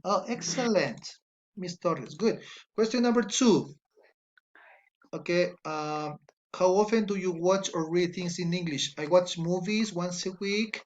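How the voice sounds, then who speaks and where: A man's voice: sits high, lo-fi sound, slight background noise. A man from Ecuador with an Ecuadorian Spanish accent, a native Spanish speaker, teaching an online English class, reading student answers aloud and patiently correcting them. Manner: slow, patient, corrects spelling and grammar aloud.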